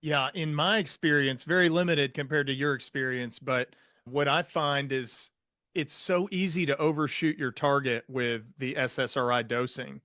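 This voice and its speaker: A man hosting a conversational interview as a fellow psychiatrist, speaking in a measured, thoughtful way. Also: medium pitch, sharp tone, dry recording. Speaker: podcast host, psychiatrist.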